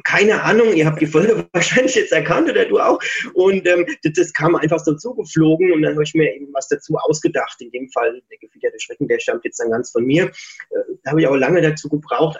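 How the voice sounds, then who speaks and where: A man from Germany, an author, answering interview questions over the phone in a relaxed, chatty way with many fillers. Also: on the high side, fairly quick. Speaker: book author. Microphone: phone line. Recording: muffled phone-call sound.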